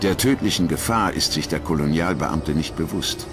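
Very deep-voiced man narrating over music. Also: normal speed. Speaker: documentary narrator. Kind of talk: scripted documentary narration.

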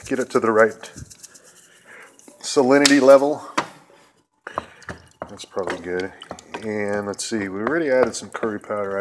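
A middle-aged man with a fairly low voice talks his way through a home cooking session, folksy and rambling. Behind him, pots and pans clatter loudly on the kitchen counter, caught on a handheld phone. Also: noisy background. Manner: rambling, folksy, many fillers. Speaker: cooking video host. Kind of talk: casual cooking demonstration. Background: clattering pots, pans and cans.

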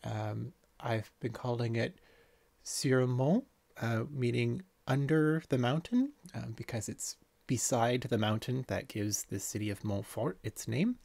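A man in his thirties casually narrating a tour of his city-builder game, relaxed and rambling with plenty of ums and uhs. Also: mid-range voice, measured pace, vocal fry, dry recording. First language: not French. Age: 30s.

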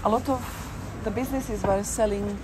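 A chatty woman talks to her viewers while walking, thinking aloud and restarting her sentences. Her voice sits in a middling register. Loud traffic and street noise fill the background. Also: mid-range voice, steady tone, normal speed. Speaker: walking tour vlogger. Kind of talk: casual walk-and-talk commentary. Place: busy shopping street outdoors.

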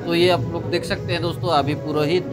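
Male vlogger, thirties, fairly high voice, casual commentary over prominent guitar and piano music. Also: little pitch movement, fast. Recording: music behind the voice.

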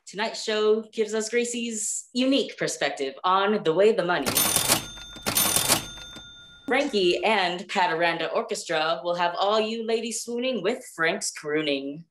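A woman's voice: mid-range voice, a little slow, background noise, lightly acted. A young woman playing a chipper 1940s radio co-host with showy announcer patter. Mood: showy, bright. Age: young adult. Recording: echoey room sound.

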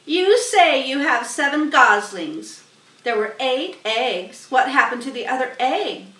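A woman voicing a prying, scheming male rat with a sharp voice in a storybook. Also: sits high, animated, slow pace, pitched above the performer's own voice, exaggerated voice. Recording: roomy, distant webcam microphone.

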